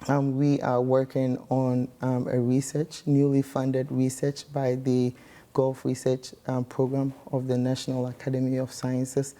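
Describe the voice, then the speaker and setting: A man from Ghana, a guest scientist explaining his research in measured speech with many pauses. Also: medium pitch, monotone, warm tone.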